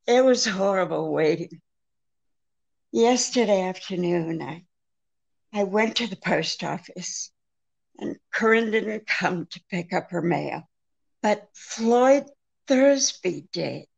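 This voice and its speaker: An anxious, genteel woman client in a 1940s-style radio mystery. She pleads hesitantly at a slow pace, faltering often. Her mid-pitched voice has a noticeable creak. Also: expressive, exaggerated voice. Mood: distressed. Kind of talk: 1940s radio drama acting.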